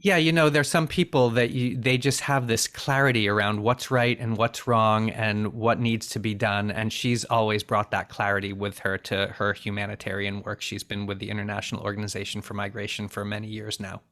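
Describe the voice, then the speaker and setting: Middle-aged man, guest in an interview, low voice, earnest, reflective storytelling. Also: level tone, moderate pace, few pauses, fairly bright tone. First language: bilingual in Finnish and English. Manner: earnest, reflective, with frequent restarts. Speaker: interview guest, refugee aid founder.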